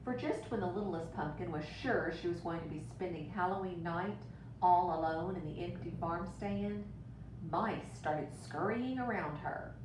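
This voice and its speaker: A woman teacher reading a picture book aloud to children in an expressive, deliberate way, with brief asides. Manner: expressive, deliberate storytelling for young listeners. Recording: roomy, distant mic with rumbling noise.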